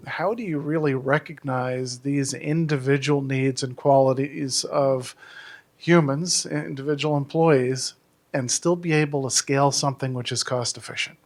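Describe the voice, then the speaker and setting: A man in a conference audience giving a measured, analytical comment into a handheld microphone. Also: mid-range voice. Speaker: audience member. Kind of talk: speaking up from the audience.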